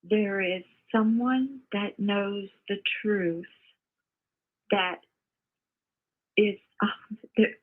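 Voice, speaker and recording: An older woman calling in by phone to give her opinion, halting and earnest, often repeating herself. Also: medium pitch. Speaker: caller, mother of the host. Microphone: phone call. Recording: muffled, narrow phone call audio.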